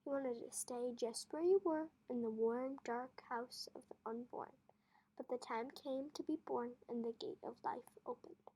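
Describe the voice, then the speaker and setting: A woman voicing a calm, wise farmer father in a storybook, teaching gently with earnest, measured, emphatic phrasing. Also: on the high side, husky, low-quality recording. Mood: fond, amused.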